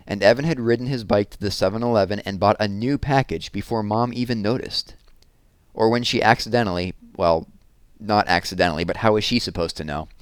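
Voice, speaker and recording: A man reading a children's book aloud in an expressive storytelling style, leaning on key words for drama. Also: fairly low pitch, clean tone, no echo.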